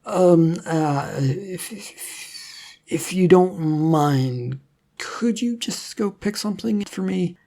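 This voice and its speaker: A narrator voicing a weary, defensive, reluctant male hero with a halting, protesting manner in a story. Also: medium pitch, lively intonation, dark tone, voice pushed up. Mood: hesitant.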